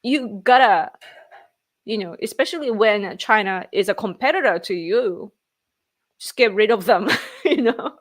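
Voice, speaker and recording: A woman's voice: medium pitch, lively intonation, unhurried. A woman from Japan with a Japanese accent, casually chatting on a live stream and reading viewer comments as she rambles and laughs. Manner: rambling, reads chat aloud, laughs often. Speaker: livestream host.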